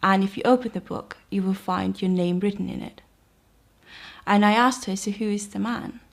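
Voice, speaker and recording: A woman's voice: medium pitch, creaky. A young woman from Denmark with a Danish accent, reflectively telling her personal conversion testimony. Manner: reflective, earnest. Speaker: convert sharing her story.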